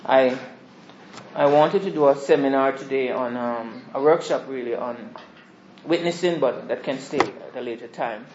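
A soft-spoken man giving a rambling, informal sermon introduction to a small congregation. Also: moderate pace, a little hiss or hum. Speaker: visiting preacher. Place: small church hall. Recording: echoey hall, dull low-fi sound.

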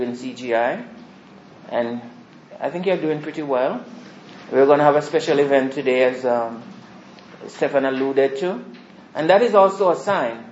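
A soft-spoken man giving a rambling, informal sermon introduction to a small congregation. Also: a little hiss or hum. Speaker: visiting preacher. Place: small church hall. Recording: echoey hall, dull low-fi sound.

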